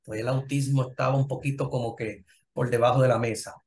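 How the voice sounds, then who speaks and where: A man's voice: mid-range voice, steady tone, a little fast, a little mellow. A middle-aged man with a Puerto Rican accent, a professor speaking conversationally as an interview guest about his own working life. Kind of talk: conversational personal career account.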